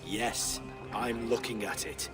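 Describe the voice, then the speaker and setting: A man's voice: medium pitch, fairly even intonation, slow pace, natural voice. An actor playing a weary, irritable Viking king in a video game, curt and imperious. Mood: irritated. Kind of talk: dramatic video game acting. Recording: music underneath.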